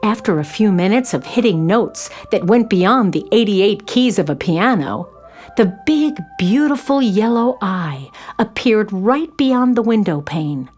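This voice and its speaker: A woman narrator reading a scripted children's story in an expressive storytelling manner. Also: mid-range voice, a little slow, soft background music.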